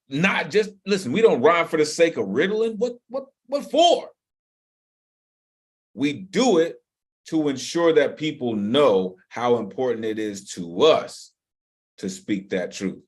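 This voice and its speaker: Slow, animated man as the open mic host on a video call, earnest and loud by turns. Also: medium pitch. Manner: animated, shouts and laughs between earnest praise. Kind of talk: hosting an online poetry open mic.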